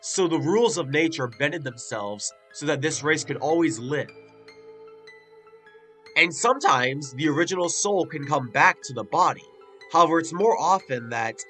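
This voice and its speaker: A man's voice: medium pitch, bright, forceful tone. A man giving a casual spoken review with a stuffy, congested nose, chatty and full of filler words. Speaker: video reviewer.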